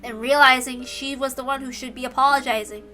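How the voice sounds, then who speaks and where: A woman reading a story aloud as narrator, in an expressive storytelling style. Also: high voice, fairly quick, soft background music, poor audio. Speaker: narrator, reader.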